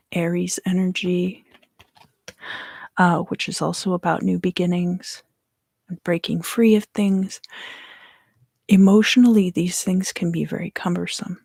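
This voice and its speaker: A woman in her thirties gives an ASMR tarot reading in hushed, unhurried, deliberate phrases, close to the microphone. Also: fairly low pitch, creaky, slightly rough, no room sound. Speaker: tarot reader and ASMR host. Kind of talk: ASMR tarot reading, whispered and softly spoken.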